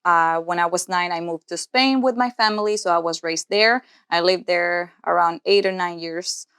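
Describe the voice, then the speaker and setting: A woman's voice: fairly low pitch, normal speed, a bit bright, clear, resonant tone, no room sound, clean studio sound. A woman in her twenties, born in Cuba, co-hosting a podcast in an earnest, casual way, thinking aloud as she goes. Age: 20s. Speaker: podcast co-host, nurse practitioner.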